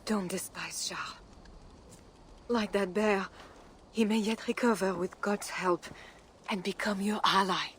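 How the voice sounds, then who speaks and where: A woman's voice: medium pitch, steady tone, fairly bright tone, slight background noise, lightly acted. Woman playing a dignified, earnest, devout queen. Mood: regretful. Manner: measured, formal, earnest. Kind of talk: dramatic video game acting.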